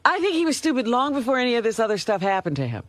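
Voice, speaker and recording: A woman's voice: on the high side, big pitch swings, very fast, dry recording. A woman co-hosting a radio show, chiming in with casual studio banter. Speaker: radio co-host.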